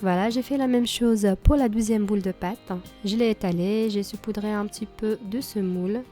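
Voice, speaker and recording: A woman with a slightly hoarse voice from a cold talks through a recipe in a calm, mellow tone. She explains each step plainly, with the odd small filler. Soft music plays behind her. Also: mid-range voice, normal speed. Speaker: cooking channel host. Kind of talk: cooking tutorial voice-over.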